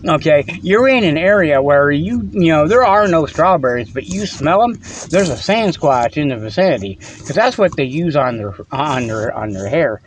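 High-voiced rambling man who keeps chuckling. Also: expressive, normal speed, slight background noise, rough recording. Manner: chuckling, rambling, lots of fillers and restarts; playful, teasing. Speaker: host. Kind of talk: rambling comic monologue.

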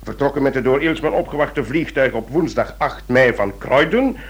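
Actor as a husky, self-assured male investigator. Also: medium pitch, close to an everyday voice. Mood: eager, teasing. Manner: relishing, deliberate storytelling. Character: eager, self-assured British investigator telling a case. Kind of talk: radio drama acting.

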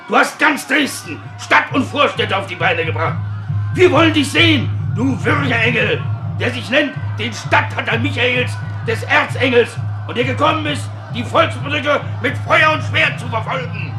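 An actor playing an excited, taunting crier who shouts in a declamatory way in a radio drama. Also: male voice, extremely high pitch, normal speed, full character voice. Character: excited, taunting crier calling out over a crowd. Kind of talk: German radio play acting. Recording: echoey space with music behind.

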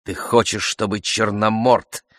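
An anguished young woman in an audiobook, read by a man. The voice is quiet and pleading, hesitant yet determined, in a dry recording. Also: lowish voice, little pitch movement, measured pace, no room sound. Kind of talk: audiobook character voice.

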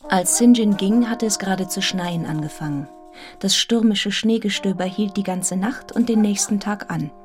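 Woman playing young schoolmistress telling her story, radio play, earnest n composed, normal pitch. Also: moderate pace, a little mellow, soft background music, close to an everyday voice. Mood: composed narrating. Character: earnest, observant, forthright young schoolmistress narrator. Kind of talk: German radio play acting and literary narration.

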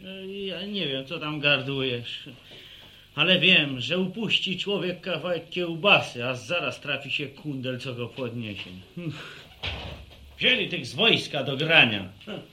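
High-voiced, cocky young villager. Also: male voice, expressive, unhurried, sharp tone, slight background noise, exaggerated voice. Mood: grumbling. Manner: folksy, blunt, joking. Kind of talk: radio drama acting.

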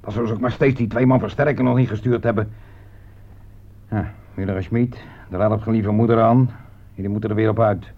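An actor playing a gruff, bossy army sergeant in a Dutch radio war drama. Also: male voice, lowish voice, steady tone, brisk. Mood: gruff, commanding. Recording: dull, band-limited old radio recording.

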